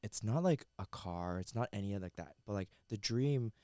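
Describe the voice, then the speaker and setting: Smooth, low-voiced man chatting as a guest. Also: normal speed, dry recording, studio quality. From Canada. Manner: relaxed, chatty storyteller with many fillers. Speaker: guest chef and entrepreneur. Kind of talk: casual podcast interview. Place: recording studio. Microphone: headset mic and headphones.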